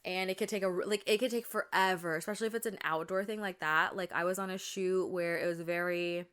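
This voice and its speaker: A young woman chats through her podcast in a rambling, friendly way, full of fillers and restarts. Her voice sits a little low and comes across smooth and clear, though a touch congested and tired. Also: steady tone, quick pace, fairly bright tone. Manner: chatty, rambling, many fillers and self-corrections. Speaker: podcast host. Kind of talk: casual chat.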